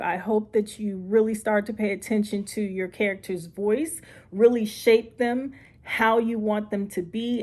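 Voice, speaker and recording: A middle-aged woman with a mid-pitched voice speaks as a writing coach. Her delivery is informal and conversational, as if addressing each viewer directly. She is encouraging and puts emphasis on the points she wants remembered. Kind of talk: informal instructional talk to viewers.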